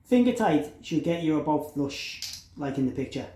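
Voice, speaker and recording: Casual man with a slightly high voice. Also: very animated, normal speed, dark tone. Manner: informal, explanatory. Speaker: installer demonstrating an intercom product. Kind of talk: casual hands-on product tutorial.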